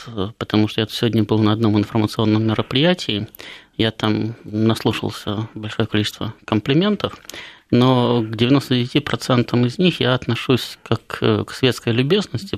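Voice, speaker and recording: A man's voice: mid-range voice, no echo. A man in his early fifties speaking as a political commentator, unscripted and wandering through asides with many hesitations. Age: early 50s. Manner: discursive, hesitant, full of long hesitations and parenthetical asides. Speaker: political commentator, guest on a talk show. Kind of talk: unscripted political analysis with anecdotes.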